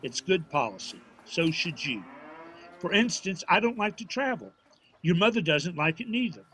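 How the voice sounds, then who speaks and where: Stubborn folksy father, bright forceful voice. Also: high-pitched, very animated, moderate pace, breathy edge, full character voice. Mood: firm, proud. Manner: folksy, prickly, firm. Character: stubborn, proud, plainspoken old small-town father. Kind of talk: naturalistic audio drama acting at a live reading. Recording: faint noise of live stage performance.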